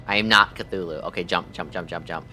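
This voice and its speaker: A man doing casual, profane, jokey livestream commentary while playing a game, thinking out loud. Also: sits low, brisk.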